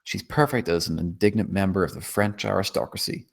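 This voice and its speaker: A man's voice: deep voice, a little fast, gravelly. A man narrating a screenplay, reading out stage directions at a table read.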